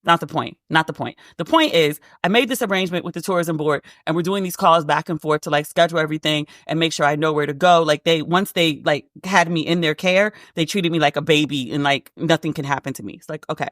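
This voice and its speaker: A woman with a deep voice hosting a casual solo podcast, telling a story and acting out the dialogue, with "like" all through her speech. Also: fast, few pauses, a bit bright, dry recording, studio quality. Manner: chatty, heavy use of "like", acts out quoted dialogue. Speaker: podcast host.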